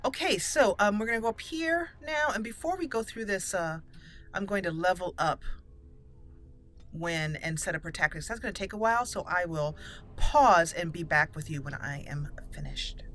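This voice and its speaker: A woman's voice: on the low side, normal speed, a bit bright. A woman giving chatty, playful gameplay commentary as a let's play host, casual with some roleplay.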